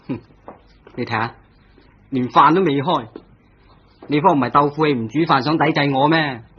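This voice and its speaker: An actor playing an irritable husband who becomes a murder suspect in a vintage radio drama, with a grumbling, defensive manner. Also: male voice, on the high side, normal speed, breathy edge. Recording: dull lo-fi old radio recording with faint hiss.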